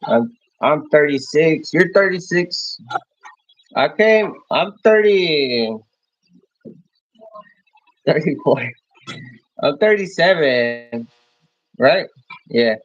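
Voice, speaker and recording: A man in his thirties from Mexico chats with viewers on a livestream. He talks in a halting, rambling way and keeps restarting his phrases. The sound is dry and close, with dull, muffled audio. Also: a little high, lively intonation, no echo. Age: 30s. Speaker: livestream host. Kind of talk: casual livestream chat answering viewers.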